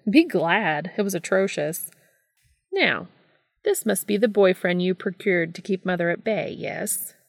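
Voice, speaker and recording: A woman reading a story aloud and voicing a flamboyant, brash older brother who talks loud and teasing. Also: medium pitch, expressive, unhurried, creaky, no echo, clean studio sound.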